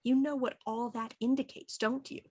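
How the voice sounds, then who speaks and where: An actress playing an actor who voices a stern inspector, with clipped, probing questions. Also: female voice, a little high, steady tone, normal speed, a little mellow, slightly rough. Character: young woman actor, sharp and sarcastic, voicing a stern inspector. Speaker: actor playing a police inspector in a period radio play. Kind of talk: audio drama acting.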